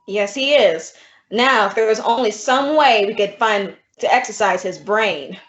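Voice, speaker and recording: A woman acting a dry, quick-witted schoolteacher in an old-time radio comedy. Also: medium pitch, fairly bright tone, lightly acted. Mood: dry, wry. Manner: dry, sardonic. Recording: echoey room, dull audio.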